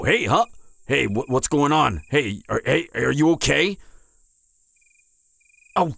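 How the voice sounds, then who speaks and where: Man acting a husky, flustered wounded hunter. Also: mid-range voice, a bit bright, no room sound, heavily acted. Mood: panicked, urgent. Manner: stammering, halting, breathy sighs and groans. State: in pain, strained. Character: wounded, proud, flustered young male vampire hunter. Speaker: audio roleplay voice actor. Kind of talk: intimate audio roleplay acting.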